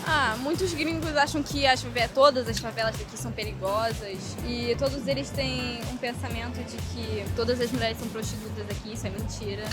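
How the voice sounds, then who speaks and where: A woman's voice: fairly high pitch, fairly even intonation, fairly quick, few pauses, loud music behind the voice. Woman answering matter-of-factly. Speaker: interviewee. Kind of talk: casual street interview.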